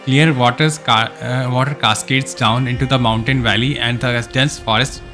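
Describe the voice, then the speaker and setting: A man's voice: medium pitch, fairly even intonation, normal speed, pushed and bright, soft background music. A man live streaming a game, reading its story text aloud with casual asides, sometimes stumbling over words and correcting himself.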